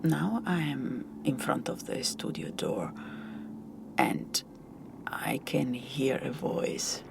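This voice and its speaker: A woman telling a scripted first-person story in a hushed, deliberate, dramatic manner. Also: very deep voice, sing-song, creaky, in a whisper. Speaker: audio storyteller.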